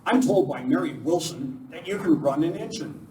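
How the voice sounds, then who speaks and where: A man's voice: fairly high pitch, lively intonation, normal speed, a little mellow, close to an everyday voice. A man playing a heroic, resolute masked cowboy lawman in a live radio western. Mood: firm, reassuring. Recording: distant mic in echoey hall, faint noise.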